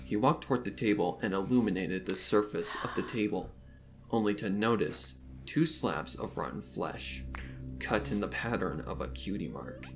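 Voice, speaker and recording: A man reading a story aloud as dramatic scripted narration, with soft music behind him. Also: lowish voice, lively intonation, moderate pace. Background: soft background music.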